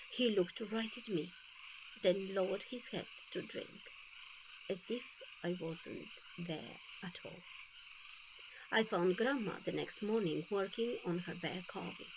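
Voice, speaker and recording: Unhurried woman reading a children's story. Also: medium pitch, background noise. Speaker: storybook reader. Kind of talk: read-aloud children's storytime narration.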